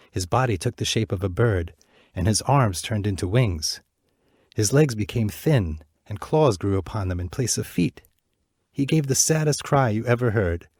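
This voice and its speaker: A man narrating a fairy tale in a measured, clear reading voice. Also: fairly low pitch, a little mellow, no room sound, clean studio sound. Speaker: storyteller.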